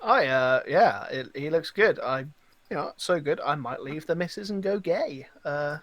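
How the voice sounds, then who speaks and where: A man as a podcast guest, bantering casually in a halting, rambling way. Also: on the high side, animated, fairly bright tone, no echo.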